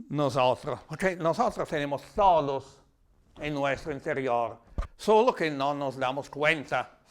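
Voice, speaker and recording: A man with an Italian accent giving a reflective, explanatory talk in a language that is not his native one, sometimes halting as he searches for words. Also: on the high side, bright, forceful tone. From Italy. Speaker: lecturer.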